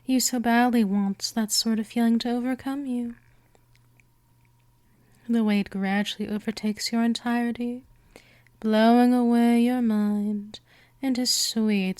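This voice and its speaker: Slow, seductive woman as a vampire mistress. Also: a little high, darkish tone, no room sound. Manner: slow, teasing, coaxing, often dropping into breathy whispers. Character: gentle dominant female vampire, seductive, teasing, immortal predator. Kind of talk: scripted hypnosis roleplay, intimate ASMR.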